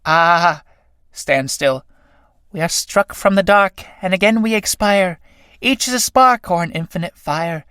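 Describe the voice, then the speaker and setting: A woman's voice: lowish voice, a little slow, a bit bright, clear, resonant tone, no room sound, clean studio sound. A woman playing ecstatic, short-lived moths in a stage play, declaiming verse like an incantation. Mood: rapturous.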